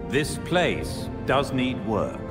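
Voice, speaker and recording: An actor playing a loyal elderly butler in a video game, formal, courteous, measured and kindly. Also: male voice, mid-range voice, sing-song. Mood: gently reassuring. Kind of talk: dramatic video game acting. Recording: reverberant hall, music underneath.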